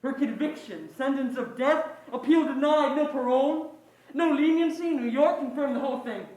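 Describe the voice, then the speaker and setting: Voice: mid-range voice, darkish tone, close to an everyday voice. An actor as a scheming noir crook, echoey stage. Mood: urgent. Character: hard-boiled, scheming crime partner pushing a deal. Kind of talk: stage play acting, 1940s noir.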